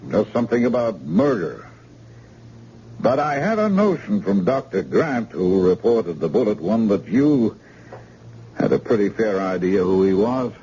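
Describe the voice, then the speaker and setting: A brisk surgeon. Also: male voice, on the low side, big pitch swings, dark tone. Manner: brisk, matter-of-fact. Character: calm male surgeon, brisk and assured. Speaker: doctor. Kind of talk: old-time radio drama acting. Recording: hissy, muffled old radio recording.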